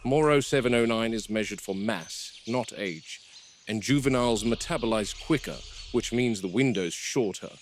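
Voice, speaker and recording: A man playing a calm, commanding veteran game warden in found-footage horror, speaking in measured, terse, authoritative phrases. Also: lowish voice, a little hiss or hum, lightly acted. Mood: measured, explanatory. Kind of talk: found-footage horror film acting and log narration. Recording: VHS-style found footage, music underneath.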